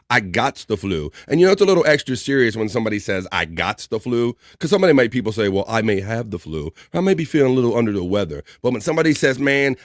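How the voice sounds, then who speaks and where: A man's voice: fairly low pitch, no room sound, studio quality. A man hosting a live stream, casually reading out viewer comments and joking with the audience. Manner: upbeat, joking, shouts out viewers by name. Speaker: host.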